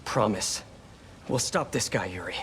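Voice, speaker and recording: A man's voice: fairly low pitch, measured pace, fairly bright tone, slight background noise. A young man playing an earnest, wisecracking superhero in a video game, talking in a conversational, musing way. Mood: earnest, concerned. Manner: quippy, musing aloud to himself.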